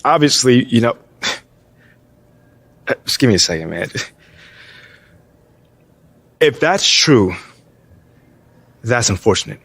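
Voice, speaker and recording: A man's voice: medium pitch, very animated, takes it slowly, creaky, pauses often, fairly bright tone, dry recording, heavily acted. A man playing an earnest, proud but unsure basketball player in his twenties, talking casually and hesitantly in a naturalistic game cutscene. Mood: stunned, upset. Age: 20s.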